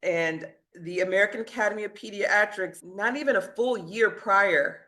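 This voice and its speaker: An earnest woman physician, middle-aged, talking as an interview guest. She tells her story in a steady conversational way and breaks into short laughs at her own boldness. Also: mid-range voice, normal speed.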